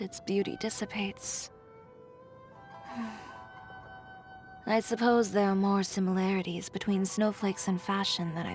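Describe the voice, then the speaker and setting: A woman's voice: medium pitch, darkish tone, close to an everyday voice. A woman voicing a blunt, haughty young fashion designer in a video game, speaking in a curt, dry and self-assured way. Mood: wistful. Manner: curt, dry, matter-of-fact, coolly condescending. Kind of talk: scripted game character voice lines.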